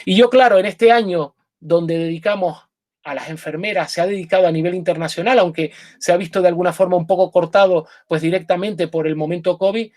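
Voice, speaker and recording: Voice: mid-range voice, a little fast, a little mellow. A lecturer on nursing history giving a live public talk with slides in a pub. The speaker is earnest, restarts sentences often and leans on the same filler word again and again. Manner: earnest, repeats "directamente" often, frequent restarts.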